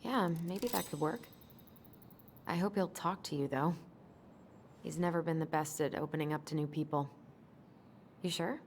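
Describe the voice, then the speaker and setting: An actress playing an anxious, sleep-deprived young American woman in a video game, speaking softly and hesitantly. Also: low-pitched, normal speed, long pauses, a little hiss or hum. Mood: subdued, sincere. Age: young adult. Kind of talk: naturalistic video game acting. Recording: music behind the voice.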